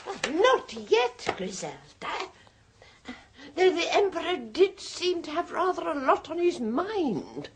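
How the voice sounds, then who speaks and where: A woman's voice: very high voice, huge pitch swings, slow pace, a little hiss or hum, heavily acted. An actress playing a timid, genteel woman, the meeker sister, in a radio play. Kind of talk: 1970s radio drama acting.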